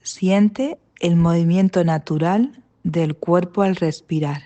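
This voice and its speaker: A woman with an accent from Spain leading a guided meditation, calm and gentle, with long pauses between short instructions. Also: lowish voice, dull audio. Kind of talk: guided mindfulness body scan meditation.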